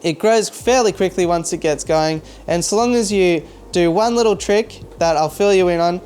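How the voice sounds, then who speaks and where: A man with an Australian accent, a hobby vlogger chatting casually to camera in his home fish room, relaxed and full of small fillers. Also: high-pitched, moderate pace, smooth. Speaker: aquarium hobby youtuber.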